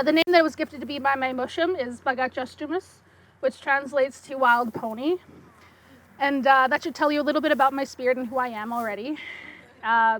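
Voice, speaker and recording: A young woman speaking English with a slight French accent, giving an excitable conference talk with quick bursts and many fillers. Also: high voice. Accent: French-tinged Canadian English. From Saskatchewan, Canada. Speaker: guest speaker.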